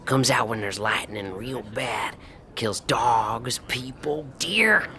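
A man's voice: mid-range voice, animated, slow pace, sharp tone, slight background noise. An actor doing a superstitious backwoods boy. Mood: spooky. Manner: folksy, spooky storytelling. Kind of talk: audio drama acting.

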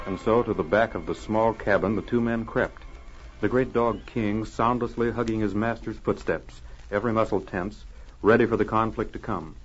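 A man's voice: fairly low pitch, steady tone, normal speed. A man announcing and narrating an old radio adventure in a formal, emphatic, dramatic style. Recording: muffled old radio recording.

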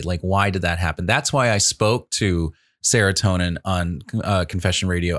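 Older man, host, low pitch, chatty, candid, dry sound. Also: a bit bright, no room sound. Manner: chatty and candid, many fillers. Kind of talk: casual radio talk.

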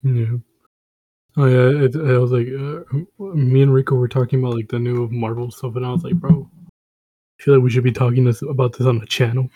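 A man's voice: mid-range voice, steady tone, brisk, mellow, husky, no echo, muffled recording. A young man co-hosting a casual podcast, talking bluntly in slang and stumbling over restarts. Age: young adult. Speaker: podcast co-host. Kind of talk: casual chat among friends.

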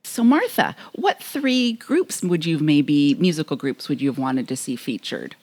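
A woman's voice: deep voice, big pitch swings, normal speed, a little mellow. A woman co-hosting a podcast in casual chat with a friendly, teasing manner. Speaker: podcast co-host.

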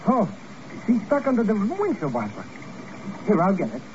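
An actor playing an excitable, chatty, nervous sidekick to a secret agent in a comic, complaining 1950s radio drama style. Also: male voice, high voice, moderate pace. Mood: excited. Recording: muffled, noisy old radio recording.

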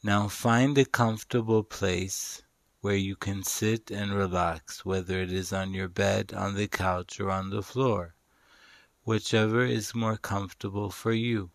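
A man leading a guided meditation in a calm, soothing, instructional manner with long pauses. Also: on the low side, creaky, dry recording. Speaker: meditation guide and show host.

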